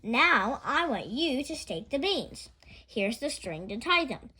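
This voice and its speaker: A woman's voice: sits high, big pitch swings, slow, bright, forceful tone, husky, heavily acted. A woman voicing a gracious, busy lady of the house in a children's storybook, politely giving instructions. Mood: instructing.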